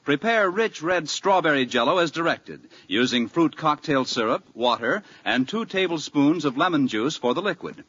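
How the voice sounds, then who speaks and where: Bright, animated old-time radio announcer man. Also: fairly high pitch, normal speed, hardly any pauses. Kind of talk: 1940s-50s radio commercial and narration. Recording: muffled old radio broadcast.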